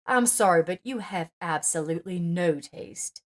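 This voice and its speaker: A sly, critical mother-in-law in a read-aloud story, cool and cutting in her remarks, performed by a woman narrator. The voice sits low-ish and soft, close to the reader's own. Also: moderate pace, natural voice.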